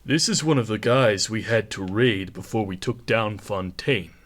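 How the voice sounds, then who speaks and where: A man's voice: lowish voice, moderate pace, natural voice. An actor playing a disillusioned, brooding male security chief with an emphatic manner in a radio drama.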